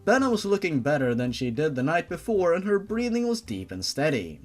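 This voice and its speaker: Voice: mid-range voice, very animated, brisk, darkish tone, faint music behind. A narrator reading fan fiction dramatically, with a theatrical, sarcastic manner. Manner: theatrical, sarcastic, sweary outbursts. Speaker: narrator and commentator.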